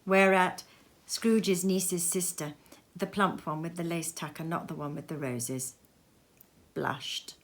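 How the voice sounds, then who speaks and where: A woman storyteller reading classic literary narration aloud in an expressive way. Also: on the low side, slow.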